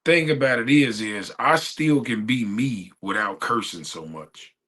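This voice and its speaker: A man with a raspy voice hosting a casual livestream chat, talking in laid-back slang and often asking "you know what I'm saying?". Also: medium pitch, unhurried, fairly bright tone. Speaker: livestream host.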